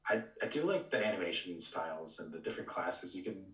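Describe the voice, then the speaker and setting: A man chatting casually about video games as a podcast co-host, halting and tentative, often repeating his words. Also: low voice, big pitch swings, a bit bright. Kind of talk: casual video game discussion. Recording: roomy echo, distant from mic.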